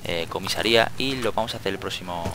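A man's voice: sits low, fast, noisy background. A man with an accent from Spain, giving casual gameplay commentary as a channel host.

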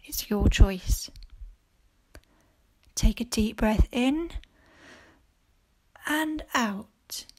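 A woman teacher leading a guided mindfulness body scan for children, speaking calmly and gently in short phrases with long pauses. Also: on the high side, slightly rough. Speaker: primary school teacher leading a guided meditation.

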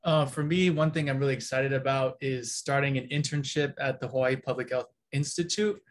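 A young man from California speaks earnestly and steadily on a video call. His pitch is ordinary for a man. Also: fairly even intonation, brisk, a little hiss or hum. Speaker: orientation leader. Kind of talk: casual panel talk.

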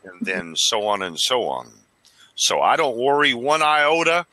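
A man in his forties from Arizona speaks fervently into a headset mic, his thoughts tumbling out and his words stumbling. His voice swings lively in pitch and sounds bright and forward. The sound is dry and close. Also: unhurried, dry recording, low-quality recording. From Arizona, United States. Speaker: caller. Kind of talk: casual religious video chat. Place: small dim room indoors.

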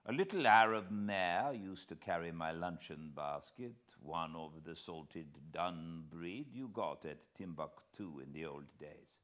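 Man playing a slow, measured wise Frenchman. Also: low-pitched, fairly bright tone. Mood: suspenseful, reflective. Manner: very slow, deliberate, measured storytelling. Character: wise, unhurried older Frenchman telling a hunting tale.

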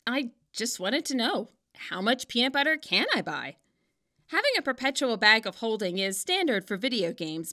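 A woman's voice: mid-range voice, bright, forceful tone. A woman giving scripted narration, moving between playful, wry commentary and storytelling. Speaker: fan fiction author and video narrator.

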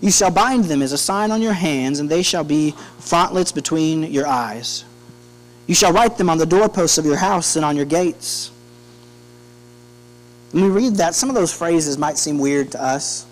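A man's voice: a little high, rough recording. A man in his thirties preaching a sermon in an earnest, conversational way, often restarting his phrases. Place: church sanctuary, indoors at a pulpit. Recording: steady electrical hum behind the voice.

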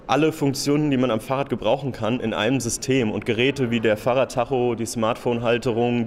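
A man's voice: mid-range voice, a little mellow, slight background noise. A young man in his twenties explaining his design work at length, with fillers, into a handheld mic in an exhibition hall. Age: 20s. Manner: explains in long, detailed sentences with fillers. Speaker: design graduate. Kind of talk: interview at an exhibition. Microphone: handheld interviewer mic.

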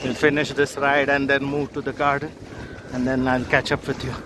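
A man's voice: mid-range voice, level tone, normal speed. A man, filming a cheerful travel vlog on a phone held at arm's length by a lake. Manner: cheerful, chuckling, wry about hardship. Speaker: travel vlogger. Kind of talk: casual travel vlog narration. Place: outdoors at a lake, on a paddle boat. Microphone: phone selfie at arm's length. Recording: loud outdoor noise, boats and traffic. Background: loud outdoor ambience and vehicles.

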